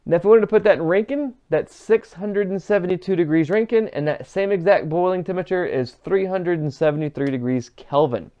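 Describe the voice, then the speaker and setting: Clear-voiced man teaching, slightly higher-pitched. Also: fairly quick, hardly any pauses, lo-fi sound. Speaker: HVAC instructor. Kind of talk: whiteboard lesson, explanatory teaching. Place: small indoor room with whiteboard.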